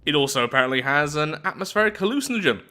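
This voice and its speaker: A man hosting a gaming video, mixing expressive commentary with read-aloud story text and theatrical asides. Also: on the high side, rapid-fire, faint music behind. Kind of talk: roleplay game commentary mixed with read-aloud event text.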